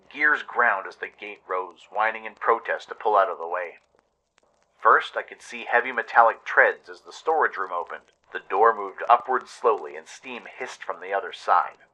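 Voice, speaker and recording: A man's voice: on the low side, pushed and bright, rough recording. A man narrating a scripted adventure story dramatically, as in a radio play. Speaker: narrator and radio show host.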